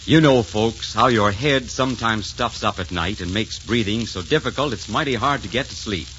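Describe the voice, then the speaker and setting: A muffled old radio recording with faint noise. A male announcer of the 1940s speaks in a bright, mid-pitched voice, selling in a folksy, polished style. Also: normal speed. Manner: folksy, polished salesman delivery.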